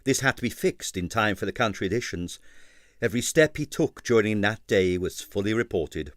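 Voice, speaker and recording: A man reading an abridged novel aloud with expressive narration. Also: on the low side, normal speed, no echo, studio quality. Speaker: audiobook reader.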